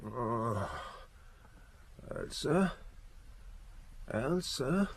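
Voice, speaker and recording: An actor in an audio drama playing a wounded man, weak and faint, answering in a husky, breathy voice. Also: medium pitch, sing-song, very slow, exaggerated voice. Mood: weak, pained. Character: wounded, weakened man straining to answer questions.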